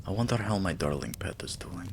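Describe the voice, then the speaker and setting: A man playing a regal, possessive, doting vampire king in an intimate ASMR roleplay, with lordly, drawn-out phrasing and murmurs. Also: deep voice, expressive, gravelly, background noise. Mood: weary, musing.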